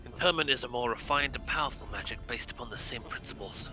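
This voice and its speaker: A man reading the part of an earnest, dutiful warder from a fantasy video game, in formal, measured speech. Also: medium pitch, over music, natural voice, poor audio. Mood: explaining, earnest. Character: dutiful, earnest male warder of a magical prison. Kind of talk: live read of video game dialogue.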